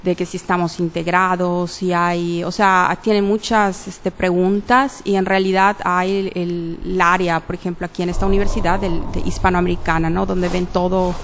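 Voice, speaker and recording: A woman's voice: on the low side, little pitch movement, normal speed, few pauses, a little hiss or hum. A woman answering interview questions casually, hesitant, with many fillers and self-corrections. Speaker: interview guest, literature graduate.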